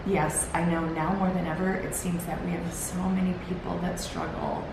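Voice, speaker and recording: Woman, gravelly, tired, earnest and rambling, echoey room, steady background hiss. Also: fairly low pitch, normal speed. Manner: earnest, encouraging, rambling with restarts. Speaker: host. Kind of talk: solo motivational talk. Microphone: camera on a timer.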